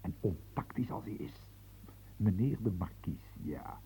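An actor playing an embittered, overworked secretary ranting sarcastically, in a radio drama. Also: male voice, mid-range voice, warm tone, slightly rough, poor audio.

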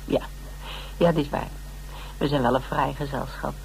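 An actress playing a practical, wry woman detective with a Dutch accent in a naturalistic radio drama, talking in a relaxed, teasing, intimate way. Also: very deep voice, moderate pace, creaky, a little hiss or hum, low-quality recording. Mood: musing, amused.

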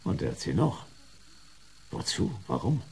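A wry, hard-boiled detective in a radio drama. The voice is low and very husky, with lively swings in pitch and a dry, laconic delivery. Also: male voice, a little slow, heavily acted. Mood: sceptical. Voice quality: husky, gravelly. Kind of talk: German radio play acting.

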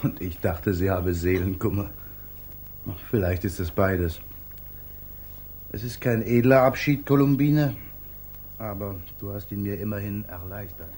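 An actor playing an eccentric, sentimental painter in a 1950s German radio comedy, earnest, tender and drily whimsical. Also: male voice, on the low side, unhurried, pauses often, a little mellow, close to an everyday voice. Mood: wistful, tender. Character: eccentric sentimental male painter, tenderly attached to his pet. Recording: noisy 1950s radio recording.

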